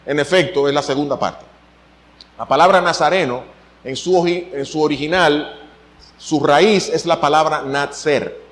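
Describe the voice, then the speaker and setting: A middle-aged Dominican man preaching in Spanish-accented Caribbean style, his voice sitting a little high for a man. He delivers an animated sermon, lifting his pitch sharply whenever he drives a point home. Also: moderate pace. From Dominican Republic. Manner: animated preaching, voice swinging up for emphasis. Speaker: pastor.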